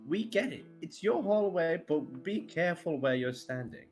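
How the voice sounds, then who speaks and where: A man's voice: fairly high pitch, animated, moderate pace, darkish tone, faint music behind, voice pushed up, close to an everyday voice. A man voicing a playful, cheeky young female student in an exaggerated amateur fanfiction reading. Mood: sassy. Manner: exaggerated amateur dramatic delivery.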